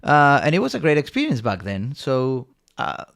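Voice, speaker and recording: A man's voice: medium pitch, huge pitch swings, normal speed, creaky, a bit bright. A man from Argentina, a company co-founder, eagerly telling his story in a rambling way with many fillers. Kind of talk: casual storytelling in an interview.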